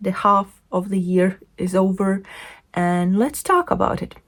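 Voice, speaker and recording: A woman hosting a casual book chat to camera, with loose, halting phrasing and frequent self-corrections. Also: mid-range voice. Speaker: book reviewer and video host.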